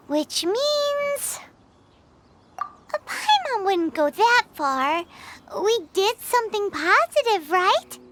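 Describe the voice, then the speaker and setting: Squeaky, very high-pitched little fairy companion. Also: female voice, extremely slow, heavy background noise, exaggerated voice. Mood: cheerful, doubtful. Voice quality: squeaky, childlike. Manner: speaks of self in third person, expressive. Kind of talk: video game voice acting. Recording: loud music under the voice.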